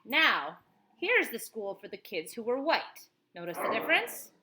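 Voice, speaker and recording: A woman's voice: on the high side, big pitch swings, normal speed, background noise. A woman teacher reading a picture book aloud expressively, with informal explanatory asides to children.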